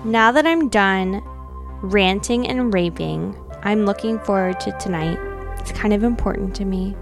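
A woman's voice: medium pitch, a little slow, vocal fry, music in the background. A woman co-hosting a podcast, casually chatting and reading aloud in a chatty way. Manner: chatty, uses like and you know often. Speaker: podcast co-host. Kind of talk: casual chat with dramatic readings of old notes.

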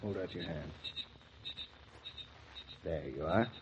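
An actor in a 1940s radio drama playing an affectionate young husband who talks in a dry, gently teasing way. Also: male voice, low voice, slow pace, background noise. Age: young adult. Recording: muffled 1940s radio transcription.

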